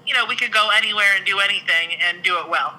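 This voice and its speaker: High, very bright-voiced man. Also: fast talker, rough recording. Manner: reflective, agreeing, rambling lists. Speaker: podcast co-host. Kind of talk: casual podcast chat.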